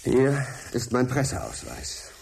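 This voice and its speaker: Curious stubborn reporter in a radio drama, polite but pushy, voice moves up and down a lot. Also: male voice, medium pitch, wildly swooping pitch, takes it slowly, gravelly, a little mellow, a little hiss or hum, heavily acted. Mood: defiant, puzzled. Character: inquisitive, defiant male freelance science journalist. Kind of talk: German radio drama acting.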